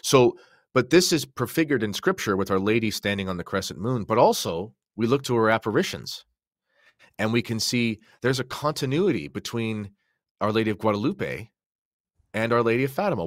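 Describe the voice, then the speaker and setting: Deep-voiced man explaining with emphasis. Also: dry recording, clean studio sound. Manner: emphatic, explanatory, posing rhetorical questions. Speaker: podcast guest. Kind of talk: impassioned religious discussion.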